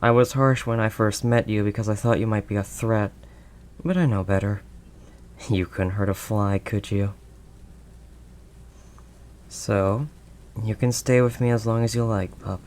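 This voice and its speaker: A man playing a protective older male werewolf loner, gentle and conversational, in an intimate audio roleplay. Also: fairly low pitch, a little slow, long pauses, close to an everyday voice. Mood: sympathetic, reassuring. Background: rain ambience sound effects.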